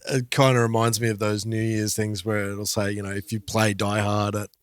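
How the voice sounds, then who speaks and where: A man co-hosting a podcast, chatting casually and thinking aloud in halting phrases. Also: fairly low pitch, level tone, smooth, no echo, clean studio sound. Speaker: podcast host.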